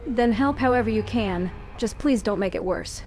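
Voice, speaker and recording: A woman's voice: fairly high pitch, normal speed, darkish tone, slight background noise, natural voice. A woman voicing a stern young female elf warrior hero, speaking firmly and tersely. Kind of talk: fan-voiced game protagonist.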